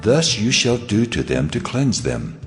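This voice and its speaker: A man's voice: lowish voice, sing-song, measured pace. A man playing God in a dramatized scripture reading, solemn and authoritative, giving commands. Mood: solemn, commanding. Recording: long cavernous reverb. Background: faint music.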